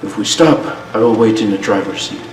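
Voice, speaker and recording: An actor playing a wary, blunt armed survivor of a zombie outbreak in a radio play, speaking with urgency. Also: male voice, fairly low pitch, brisk, lightly acted. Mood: grim. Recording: echoey, distant mic, faint music.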